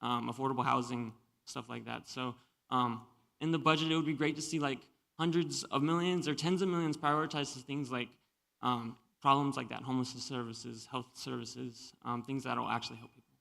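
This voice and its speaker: A man's voice: mid-range voice, fairly even intonation, fairly quick, gravelly, pushed and bright. A man who is a resident giving an earnest public comment at a community meeting. He hesitates often with "um" and strings long points together. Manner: earnest advocate, many ums, long run-on points.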